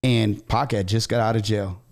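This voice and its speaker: A man's voice: fairly low pitch, steady tone, normal speed, a little mellow. An American man from Southern California hosting a podcast, telling personal stories in casual, slangy conversation. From Southern California, USA. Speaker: podcast host. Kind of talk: casual storytelling chat.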